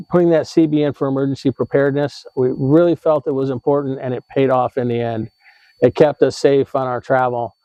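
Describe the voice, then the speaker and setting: A man's voice: medium pitch, no room sound, lo-fi sound. An American man hosting a how-to video, explaining in a casual, informal way with some hesitation and self-correction. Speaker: host of an RV tips video channel.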